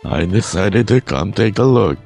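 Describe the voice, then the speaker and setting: Man reading a deep, creaky courteous dancer woman. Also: animated, normal speed, darkish tone, soft background music.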